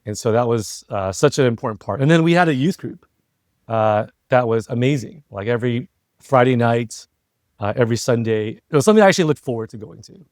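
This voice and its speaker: A man's voice: mid-range voice, expressive, fairly quick, a little mellow, no room sound. Middle-aged American man, close dry sound. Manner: reflective, talkative, often seeking agreement with tag questions. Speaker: interview guest, entrepreneur and former CEO. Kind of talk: casual conversational interview.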